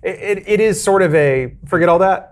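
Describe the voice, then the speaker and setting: A young man co-hosting a podcast, talking casually and eagerly jumping in with his own points. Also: high voice. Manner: quick, animated, eager to chime in. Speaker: podcast co-host. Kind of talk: casual chat.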